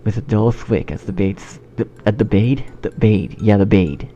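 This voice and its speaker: A man narrating fan fiction in outtakes, halting and restarting, swearing at his own slips in a self-deprecating way. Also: fairly low pitch, slight background noise. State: shivering from cold. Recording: dull lo-fi sound, loud music. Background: loud music.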